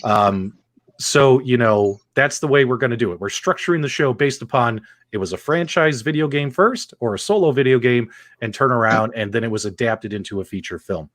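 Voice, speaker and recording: A man's voice: mid-range voice, a little fast, fairly bright tone, no room sound. A man hosting a casual live panel show, informal and conversational as he addresses viewers directly. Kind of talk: casual live-stream hosting and chat reading.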